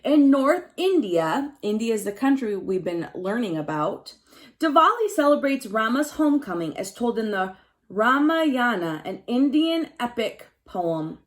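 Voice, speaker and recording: A woman's voice: a little high, big pitch swings, unhurried. A woman teacher reading a picture book aloud to young pupils, stopping to explain things in simple words and put questions straight to the children. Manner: simple explanatory phrasing, addresses children directly, emphasizes key words.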